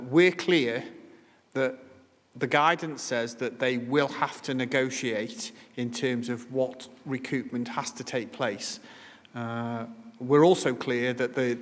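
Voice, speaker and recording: A man explains policy in a measured, careful way, often restarting his sentences. His voice sits in the middle of the male range and carries a long, reverberant ring from the council chamber. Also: medium pitch, steady tone. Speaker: council officer answering questions. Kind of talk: formal meeting explanation.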